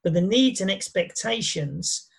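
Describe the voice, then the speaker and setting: A man's voice: sits high, animated, measured pace, vocal fry, breathy edge. A man leading a business discussion, talking in an enthusiastic, conversational way.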